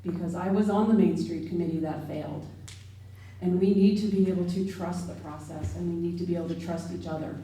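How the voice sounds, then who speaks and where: Low, mellow woman in a very echoey hall. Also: fairly even intonation, fairly quick, recorded from a distance. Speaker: audience member. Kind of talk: audience question.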